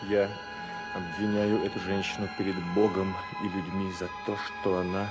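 An actor playing a resolute young musketeer, solemn and declamatory in a radio drama. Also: male voice, low voice, little pitch movement, normal speed, over loud music, natural voice. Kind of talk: 1990s Russian radio drama acting.

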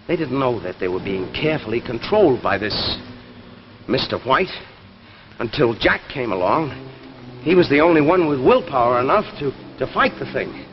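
A man's voice: medium pitch, sing-song, normal speed, a little hiss or hum, lightly acted. An actor playing an earnest, anxious middle-aged father and husband in 1950s television drama. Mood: grave, urgent. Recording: muffled 1950s television soundtrack, loud music.

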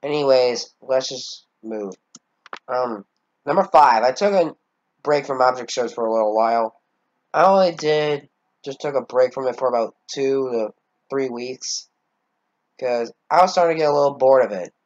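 A man's voice: medium pitch, moderate pace, dull audio. A fourteen-year-old boy, congested, giving a rambling, hesitant channel update with casual swearing. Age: teens. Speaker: channel owner.

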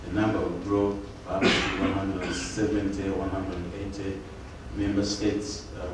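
An older Ugandan man speaks in a formal, measured way with a fairly low voice. He sits back from a table mic, and the room rings with heavy echo over steady hiss. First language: Luganda. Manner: measured, formal, halting with fillers. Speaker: panel speaker. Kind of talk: formal symposium address. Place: lecture hall panel table. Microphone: table mic on stand. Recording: echoey room, distant mic, background hiss.

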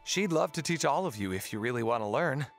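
A man playing a kind, helpful young merman prince, explaining things gently in an audio drama. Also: mid-range voice, expressive, fairly quick, faint music behind, close to an everyday voice. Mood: kind, patient.

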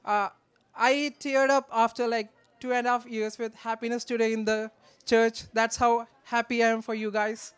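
High, clear-voiced young man. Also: little pitch movement, a bit bright. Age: 20s. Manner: joking, affectionate, halting delivery. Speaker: best man, groom's brother. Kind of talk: humorous wedding toast read from notes. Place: wedding reception in a large draped marquee. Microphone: handheld microphone.

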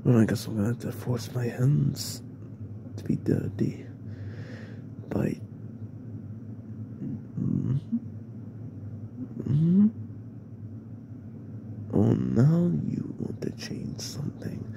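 Man playing slow, gravelly, menacing mafia boss. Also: full character voice. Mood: cold, possessive. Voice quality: breathy, gravelly murmur. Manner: slow, deliberate, broken phrases with pauses. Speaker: ASMR roleplay performer. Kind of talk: ASMR roleplay, slow and half-whispered. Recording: noisy, with steady background rumble.

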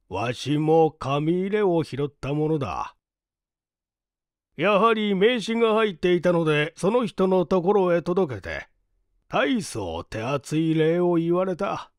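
An earnest, dignified older businessman, played by a man in an audiobook drama. He speaks very slowly and formally, in old-fashioned phrases. His voice sits a little high for a man, and the sound is dry and close. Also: darkish tone, clear, resonant tone, no room sound.

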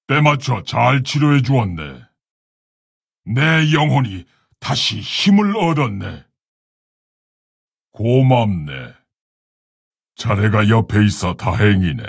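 A man playing a proud, solemn orc warchief in a video game, gravelly and husky, speaking in a commanding, stately, formal way. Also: medium pitch, dry recording, clean studio sound, exaggerated voice. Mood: earnest, measured. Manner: commanding, stately, old-fashioned formal speech. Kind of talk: video game hero voice lines.

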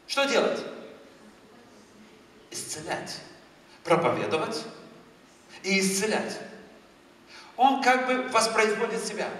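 Moldovan-accented preacher in an echoing hall. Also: male voice, high-pitched, fairly bright tone. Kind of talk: lively charismatic sermon. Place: large church hall with a stage. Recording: echoey church hall, far from the mic.

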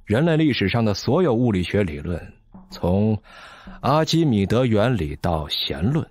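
A man's voice: fairly low pitch, slightly rough, no room sound, heavily acted. An actor playing a bitter, brilliant, hard-drinking physicist in an audio drama, sardonic and theatrical as he lectures.

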